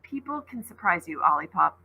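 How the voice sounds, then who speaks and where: A woman's voice: fairly low pitch, sing-song, a little fast, creaky, bright, forceful tone, breathy edge, heavily acted. A woman voicing a good-natured boy who is wry and encouraging. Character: easygoing good-natured boy, hockey player.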